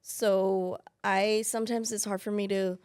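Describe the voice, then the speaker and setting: A woman's voice: medium pitch, little pitch movement, unhurried, a little mellow, clean tone, dry recording, clean studio sound. A woman in her thirties whose first language is Spanish, talking as a financial aid specialist in a casual interview, thoughtful and full of restarts. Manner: thoughtful, with many fillers and restarts.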